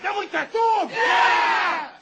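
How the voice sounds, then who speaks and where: An actor playing a rowdy, bloodthirsty biker shouting threats to a gang in a comedy film. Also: very high voice, measured pace, vocal fry, full character voice. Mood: rowdy, menacing. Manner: shouting threats, rabble-rousing. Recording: noisy film clip, shouting crowd.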